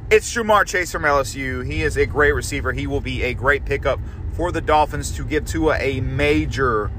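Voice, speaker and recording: A man's voice: fairly high pitch, bright, forceful tone, slight background noise. A man hosting a podcast, chatting casually and loosely, often restarting and correcting himself as he goes. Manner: rambling, loose, self-correcting. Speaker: podcast host. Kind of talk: casual sports prediction chat.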